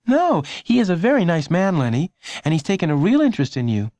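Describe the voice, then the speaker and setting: A man voicing a gentle, reassuring mother in a children's audiobook. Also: high-pitched, huge pitch swings, fast talker, dry recording, voice pushed up.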